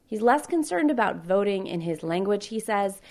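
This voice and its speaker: A woman radio reporter narrating a news feature in a clear, measured way, with friendly questions in her interviews. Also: medium pitch, sing-song, darkish tone.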